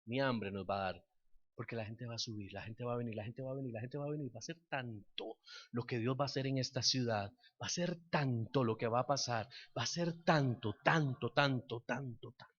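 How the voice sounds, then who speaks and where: A man preaching a fervent sermon that turns into a declared prayer, repeating words as he builds to loud peaks. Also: medium pitch, a little fast, muffled recording. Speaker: pastor.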